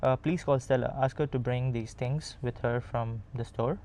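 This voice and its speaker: A man's voice: medium pitch, fairly even intonation, moderate pace, dark tone, slight background noise. A man with an Indian accent, reading a practice text aloud and repeating words during an accent lesson. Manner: agreeable, answers with repeated okays. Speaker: accent student. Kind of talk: casual chat and reading aloud.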